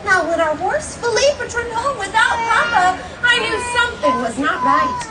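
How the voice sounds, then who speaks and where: A woman's voice: extremely high pitch, sing-song, moderate pace, very noisy. A young woman playing a sweet, gracious storybook heroine, telling a tale to children in a theatrical, gushing, encouraging way at a live show. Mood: storytelling, animated. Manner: theatrical, gushing, encouraging, sing-song questioning. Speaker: theme park character storyteller. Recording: distant echoey live show, loud music and crowd. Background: loud music and audience of children.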